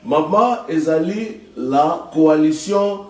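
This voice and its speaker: A man from Congo lays out his political views like a commentator, leaning on proverbs and speaking to the listener as "maman". His voice sits high for a man. The recording is roomy, and he sounds set back from the microphone. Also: expressive, slow pace, distant mic. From Congo (DRC). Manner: explanatory, uses proverbs, addresses listener as maman. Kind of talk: political talk show commentary.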